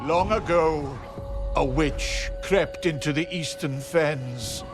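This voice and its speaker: An actor playing an anxious, guilt-ridden mayor in a video game, fretful and theatrical, with a melodramatic delivery. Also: male voice, fairly high pitch, slow pace. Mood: ominous, grave. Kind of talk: dramatic video game character acting. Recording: game music behind the voice. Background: music.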